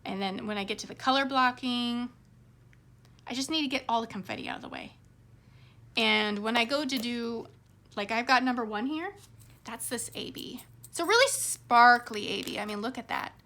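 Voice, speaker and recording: A woman hosting a craft vlog, rambling casually to her viewers, her voice a little hoarse. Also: a little high, normal speed, sharp tone. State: slightly hoarse.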